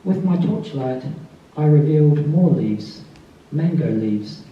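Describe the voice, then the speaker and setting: Soft-spoken man in an echoey hall. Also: a little high, animated, slow. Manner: soft-spoken, calls his voice mousy. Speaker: author giving a tribute reading. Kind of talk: introduction and reading aloud. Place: festival event space. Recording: distant, dull, very echoey room.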